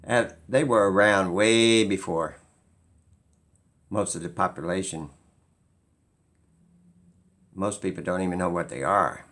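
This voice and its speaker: A man talking informally and skeptically as he explains something, halting and pausing mid-sentence as he muses. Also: deep voice, a bit bright. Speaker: video host.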